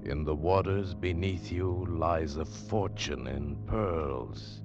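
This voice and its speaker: A man's voice: low-pitched, slow. A man giving ominous scripted narration in a 1940s radio style. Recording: muffled old radio broadcast. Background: dramatic music.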